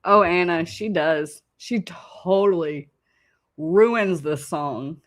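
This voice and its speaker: A woman's voice: lowish voice, slow. A woman hosting a reaction show, mocking the clip in casual, teasing commentary and laughing often.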